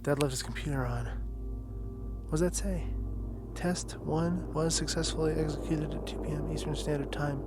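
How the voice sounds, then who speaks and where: A man's voice: fairly high pitch, fairly even intonation, moderate pace, over music, natural voice. A man playing an anxious, snarky kid in an audio drama, exasperated and informal. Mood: tense. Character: anxious, snarky kid searching for missing father.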